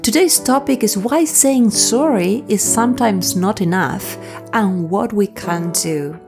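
A woman hosting a wellness podcast, slightly hoarse, talking directly to listeners in a deliberate, carefully enunciated way. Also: mid-range voice, over music. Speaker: podcast host, wellness coach. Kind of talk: podcast talk addressed to listeners, part scripted.

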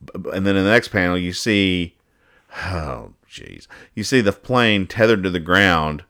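A man hosting a casual podcast, talking through comic strips in a halting, mock-analytical way and reading out the dialogue. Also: low-pitched, pushed and bright. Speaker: podcast host. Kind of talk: casual chat, describing comic strips and explaining the jokes.

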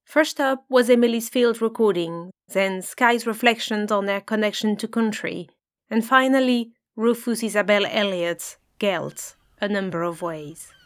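A woman hosting a podcast, warmly recapping the pieces that were just played. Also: medium pitch, measured pace. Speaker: podcast host. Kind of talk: scripted recap.

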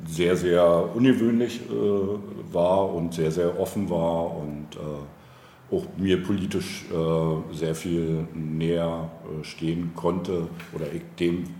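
A middle-aged man from East Germany giving reflective, conversational interview answers as a local politician, halting and searching for words. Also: sits low, steady tone, dark tone. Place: indoor room, face-to-face over coffee. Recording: echoey room with faint background noise.

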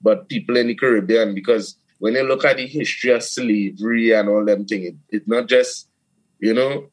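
A man from Trinidad with a Trinidadian accent, a steelpan player and producer talking in a casual interview, animated, with frequent restarts and "you know". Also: fairly low pitch, moderate pace, low-quality recording.

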